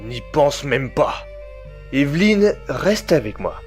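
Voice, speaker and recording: A man's voice: mid-range voice, sing-song, slow pace, faint music behind. A man playing an alarmed, protective husband in an audio drama, protesting hesitantly and stumbling over his words. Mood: protective, wary. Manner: hesitant, stammering, tense protests. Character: alarmed, protective young husband facing an intruding double. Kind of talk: amateur audio drama acting.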